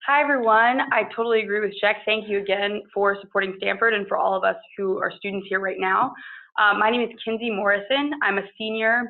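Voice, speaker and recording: A young American woman speaks very quickly and fluently. She is chatty yet earnest, like a student introducing herself on a panel. Her voice sits at an ordinary pitch for a woman. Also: steady tone, creaky, phone-quality sound. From Kentucky, United States. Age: early 20s. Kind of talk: conversational personal introduction at a panel.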